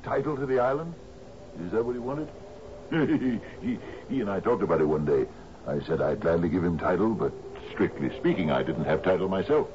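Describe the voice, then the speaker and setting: An actor in a radio drama playing an anxious, genial older island captain, halting and stammering as he pleads. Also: sits low, lively intonation. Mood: anxious, pleading. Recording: muffled, hissy old radio broadcast.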